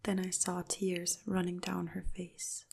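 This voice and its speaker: A woman narrating a dark first-person story in a soft, hushed whisper throughout. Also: fairly low pitch, completely flat delivery, measured pace, creaky, husky.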